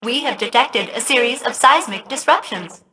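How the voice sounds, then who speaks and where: A woman's voice: on the high side, animated, normal speed, sharp tone. A woman voicing a video game ship AI, precise and polite with a sardonic undercurrent. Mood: chirpy, polite. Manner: precise, falsely cheerful, sardonic. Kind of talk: comic video game dialogue.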